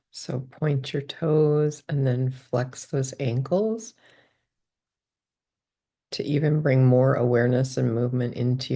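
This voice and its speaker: A woman guides a body scan meditation in calm, gentle steps. Her voice sits very low, with a gravelly texture and a mellow, dark tone. She speaks slowly and unhurriedly. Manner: calm, gentle step-by-step instructions.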